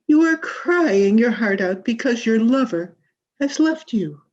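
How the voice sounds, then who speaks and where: A woman's voice: fairly high pitch, lively intonation, measured pace, darkish tone. A woman playing a grandiose, exacting drama coach in a 1940s-style radio comedy, with theatrical, emphatic delivery. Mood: brisk, instructive. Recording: dull, muffled video-call audio.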